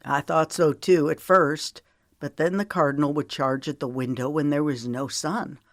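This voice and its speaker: A woman's voice: deep voice, fairly even intonation, normal speed, no room sound, clean studio sound. A woman narrator voicing a protective, weary man in an audiobook, tender but stern, with an earnest, lecturing manner. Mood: thoughtful. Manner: earnest, lecturing, folksy storytelling.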